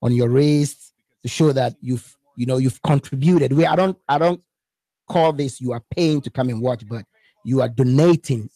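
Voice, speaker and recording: A man's voice: mid-range voice, normal speed, mellow, no echo. A man from Ghana with a Ghanaian accent, a musician talking casually as a guest on a radio show, who often repeats words as he starts a thought. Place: radio studio.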